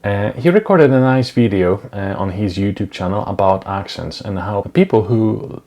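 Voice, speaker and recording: Deep gravelly man speaking English as a second language. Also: warm tone. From Europe. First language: not English. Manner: unhurried, many filler ums and uhs. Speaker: vlogger. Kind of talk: casual talking-head vlog. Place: small dim home studio, indoors. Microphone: clip-on lapel mic.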